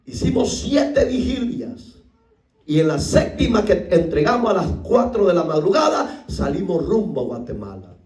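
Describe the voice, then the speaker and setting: A middle-aged man from El Salvador with a Salvadoran accent, preaching a sermon as a pastor and acting out the voices in his stories, rising to shouts. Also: sits high, big pitch swings, moderate pace, far from the mic. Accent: Salvadoran Spanish. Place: church hall. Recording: echoey room, voice from off the mic.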